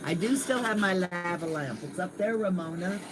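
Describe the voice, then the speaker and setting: A woman's voice: on the low side, moderate pace, noisy background. An older woman vlogging in a casual, rambling and goofy way, chatting to viewers. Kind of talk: casual livestream chat.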